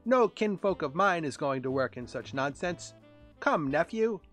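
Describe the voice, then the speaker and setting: A man voicing a cranky, scornful old reindeer uncle in a croaky, grumbling, huffy way for a children's storybook. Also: a little high, lively intonation, a little slow, fairly bright tone, soft background music, voice pushed up. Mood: scornful, angry.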